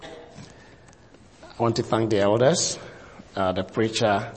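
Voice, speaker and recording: A Ghanaian man in his fifties, a visiting preacher speaking to a church congregation, slow and deliberate, leaning on key words. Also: lowish voice, steady tone, slight background noise. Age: 50s. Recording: long reverb of a hall.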